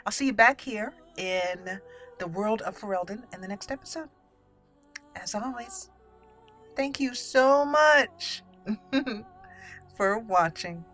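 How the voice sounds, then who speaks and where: A woman's voice: medium pitch, animated, slow, long pauses. A woman giving casual let's play commentary as the channel's host, chatty and thinking aloud. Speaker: host of a game roleplay channel. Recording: voice over soft game music. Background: game music.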